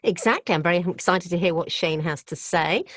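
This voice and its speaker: A woman's voice: fairly low pitch, a little fast, no room sound, studio quality. A woman co-hosting a podcast in a casual, giggly way, encouraging the guest to talk. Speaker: podcast co-host.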